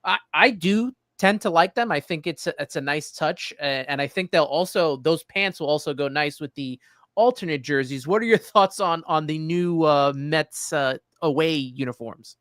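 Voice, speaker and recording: A man hosting a casual sports interview, speaking conversationally with somewhat halting phrasing. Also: a little high, a bit bright, dry recording, clean studio sound. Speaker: sports show host, interviewer.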